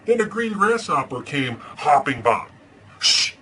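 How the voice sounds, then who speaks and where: Superhero storyteller, played by a man: earnest and deadpan, bright voice, casual hesitant delivery, faintly noisy homemade recording. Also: a little high, a little slow, heavily acted. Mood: storytelling. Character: Batman, earnest masked superhero reading storybooks aloud. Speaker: storyteller and host. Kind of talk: casual chat and storybook read-aloud.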